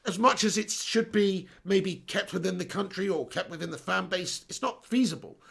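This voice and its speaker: A man with a hoarse, worn-out voice hosts a casual live stream, talking in loose, rambling runs. His voice sits fairly high and crackles with heavy fry. It sounds husky throughout. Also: normal speed, fairly bright tone. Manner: rambling, repeats himself, reads viewer comments aloud. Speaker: host of a fan live stream.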